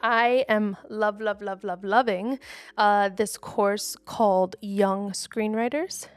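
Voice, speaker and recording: A woman co-hosting a podcast in a chatty, quick, casual way that breaks easily into laughter. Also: mid-range voice, steady tone, clear, resonant tone, no room sound. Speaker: podcast co-host.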